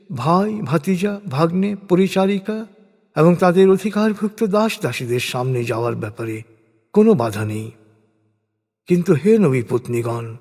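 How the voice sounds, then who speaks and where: A man with a slightly high voice narrates religious verses from a script. His formal, measured delivery rises and falls noticeably in pitch, and a long hall-like reverb surrounds every phrase. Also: darkish tone.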